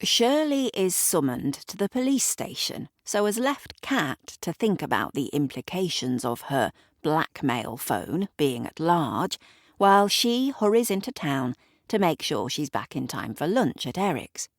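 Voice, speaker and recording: A woman's voice: deep voice, sing-song, unhurried, no echo. A woman narrating an audio drama in a wry tone, with dry asides to the listener. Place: recording studio.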